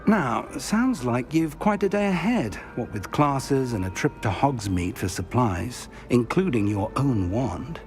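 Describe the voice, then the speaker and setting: A man's voice: mid-range voice, animated, a little mellow, soft background music, close to an everyday voice. A man as a wise old British professor. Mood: encouraging. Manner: measured, formal, kindly instructive. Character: older male professor, wise and reassuring mentor. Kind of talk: video game voice acting.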